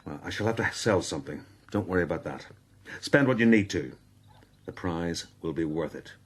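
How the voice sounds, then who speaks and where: An actor playing a proud, bullying eighteenth-century gentleman adventurer in a radio drama, pompous and commanding. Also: low-pitched, lively intonation, moderate pace, husky. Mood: proud, calculating. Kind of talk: radio drama narration and dialogue.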